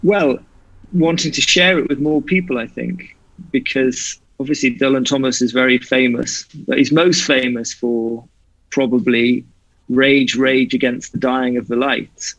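A man's voice: medium pitch, fairly even intonation, unhurried, a bit bright, dry recording. A man with a light Welsh accent reads a poem and then speaks about it in an earnest, reflective way over a video call. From Wales. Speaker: guest. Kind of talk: poetry reading and conversational interview. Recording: slightly muffled dry online call audio.

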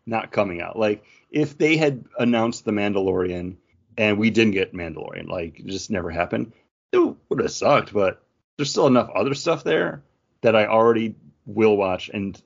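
A man with a fairly low voice talks casually as a podcast co-host, arguing his side in easy conversation. The recording is a bit dull and lo-fi. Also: expressive, moderate pace, gravelly. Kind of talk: casual chat, good-natured debate. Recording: dull lo-fi audio.

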